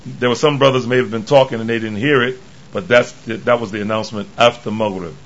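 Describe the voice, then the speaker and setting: A man with a hoarse, worn voice gives a religious lecture to a congregation. He speaks haltingly, pausing and restarting often, and his pitch sits in a middle range. The recording sounds dull and muffled. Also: steady tone, a bit bright. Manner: halting, with many pauses and restarts. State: tired from travel. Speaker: imam giving a lecture.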